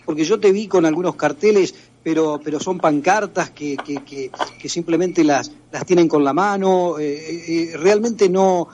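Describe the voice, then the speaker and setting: A man's voice: a little high, unhurried, hardly any pauses, a little mellow, slight background noise. A man with an Argentine accent, hosting a radio interview and asking questions with frequent hesitations. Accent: Argentine Spanish. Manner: hesitant, with many filler sounds. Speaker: radio host.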